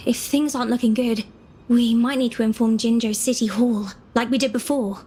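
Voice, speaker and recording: A woman's voice: on the high side, steady tone, normal speed, mellow, husky. A woman voicing an earnest, polite, slightly flustered young female field agent in a video game. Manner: earnest, hesitant, courteous.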